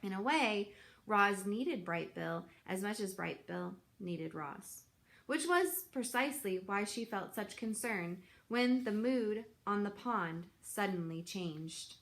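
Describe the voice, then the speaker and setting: Bright-voiced woman reading a storybook. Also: mid-range voice, expressive, takes it slowly, gravelly. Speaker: children's book reader. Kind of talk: expressive storybook read-aloud.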